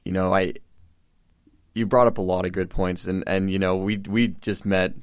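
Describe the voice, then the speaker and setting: A man's voice: sits low, fairly even intonation, normal speed. A young man hosting a podcast, talking in a supportive way with many false starts. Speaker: podcast host. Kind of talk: casual conversation.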